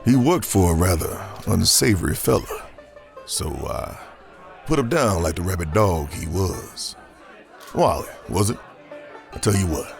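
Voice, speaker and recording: An actor playing a cocky, dangerous gunslinger in a Western audio drama, with a gravelly Southern American drawl and theatrical, taunting delivery. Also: male voice, sits low, expressive, unhurried, soft background music, full character voice. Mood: cool, taunting.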